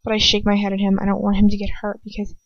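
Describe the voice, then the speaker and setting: A woman reading a story aloud as an amateur narrator, expressive and informal. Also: medium pitch, rapid-fire, no echo. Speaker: amateur audiobook reader. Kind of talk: dramatic fan fiction narration with casual asides. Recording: dull lo-fi home recording.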